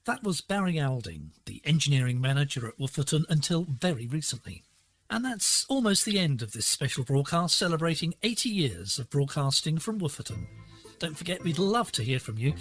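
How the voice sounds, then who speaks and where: A man presenting a scripted radio programme. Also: on the high side. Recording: shortwave digital radio broadcast with music.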